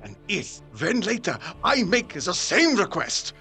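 A man's voice: high-pitched, wildly swooping pitch, unhurried, pushed and bright, soft background music, full character voice. A man playing a grave, insistent older professor and vampire hunter in an audio drama, speaking solemnly and emphatically in formal, stilted phrases. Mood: grave, persuasive.

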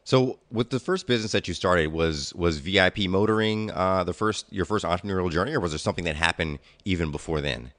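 A man's voice: sits low, quick pace, few pauses, a bit bright, no room sound. A man hosting his podcast, reading a guest introduction and then asking questions with some stumbling. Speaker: podcast host.

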